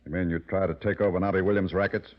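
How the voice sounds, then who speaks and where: Man playing a low-voiced, irritable gangster club owner. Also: level tone, racing, natural voice. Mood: scornful, impatient. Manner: tough, dismissive gangster talk. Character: gruff, irritable male nightclub owner and racketeer. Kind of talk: 1940s radio drama acting. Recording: muffled old radio broadcast.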